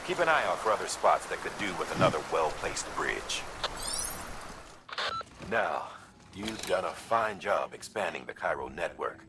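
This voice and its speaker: A man acting a steady, earnest mission handler who gives measured briefings in a video game. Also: low voice. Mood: approving, instructive. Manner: measured, explanatory.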